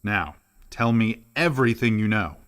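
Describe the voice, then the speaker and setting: An actor playing an earnest, astonished professor in a radio play, full of questions. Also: male voice, fairly low pitch, lively intonation, moderate pace, a bit bright, a little hiss or hum. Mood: intrigued. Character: earnest, astonished male professor investigating the uncanny.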